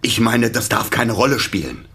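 An actor playing a nervous, reluctant male accomplice in a radio play, speaking haltingly in a husky voice. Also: medium pitch, expressive, fairly bright tone. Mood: indignant. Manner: hesitant, halting, repeating himself.

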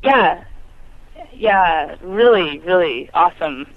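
A woman's voice: fairly low pitch, expressive, slow, dull audio. A woman calling in to a phone show, chatting casually. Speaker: caller.

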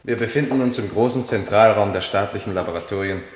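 Radio drama role, male actor: measured, enigmatic poet escort, urging and polite, lower register, strong echo. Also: natural voice. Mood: solemn, urging. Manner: formal, measured, solemn. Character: male poet-guide Antonio, courteous, cryptic, urging his companion onward. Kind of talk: German radio play acting. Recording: echoey hall reverb, faint music.

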